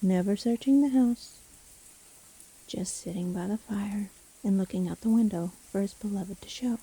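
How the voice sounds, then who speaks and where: Sly witch storyteller, acted by a woman, very mellow and dark, husky breath, theatrical, teasing, fantasy audio roleplay. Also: mid-range voice, low-quality recording. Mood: ominous, hushed. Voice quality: soft, breathy. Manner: slow, theatrical, teasing, condescending. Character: sly, gloating witch storyteller toying with her trapped victim.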